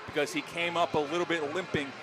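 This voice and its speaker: A man calling live high school football as a radio play-by-play announcer, projecting with emphatic, excitable delivery. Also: fast talker. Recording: stadium crowd noise behind broadcast commentary. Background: loud outdoor stadium crowd and ambience.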